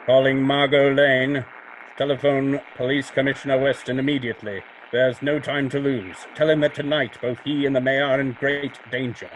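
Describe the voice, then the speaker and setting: A man playing a mysterious, coolly accusing vigilante with measured, formal, sardonic delivery in old-time radio drama style. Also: medium pitch, fairly even intonation, slight background noise, close to an everyday voice, poor audio. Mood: urgent.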